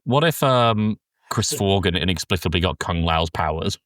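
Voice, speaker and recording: Low-voiced man hosting, dry close sound. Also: lively intonation, normal speed, fairly bright tone, no room sound. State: sick, congested throat. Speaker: podcast host. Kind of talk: casual film discussion.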